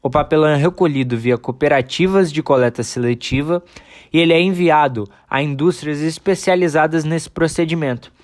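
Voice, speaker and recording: Man acting as a higher-pitched Brazilian male student. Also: a little fast, natural voice. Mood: confident. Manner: explanatory, matter-of-fact. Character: male student, precise, teasing classmate. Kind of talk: scripted amateur radio drama.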